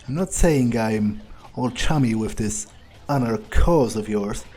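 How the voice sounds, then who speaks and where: A man's voice: medium pitch, measured pace, warm tone, lightly acted. A man roleplaying a callous, amused vampire in a video game, musing in a drawling, self-satisfied way. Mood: wary, probing. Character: smug, amused, callous male vampire schemer. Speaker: gamer playing in character.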